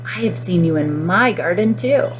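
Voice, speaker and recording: A woman's voice: fairly low pitch, lively intonation, normal speed, faint music behind, close to an everyday voice, rough recording. A woman voicing eager young village children in a storybook, giving simple, keen answers.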